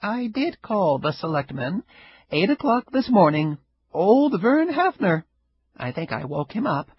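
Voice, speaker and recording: A man voicing a frail, stubborn elderly grandmother with a sharp tongue, in a husky raised voice. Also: high-pitched, big pitch swings, measured pace, voice pushed up, heavily acted. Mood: wry. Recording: dull lo-fi recording.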